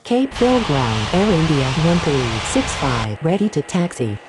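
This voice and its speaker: A woman's voice: sits low, sing-song, a little slow. A woman playing a formal airline pilot and controller, giving scripted procedural radio calls. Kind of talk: scripted aviation radio phraseology. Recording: faint vehicle noise in the audio.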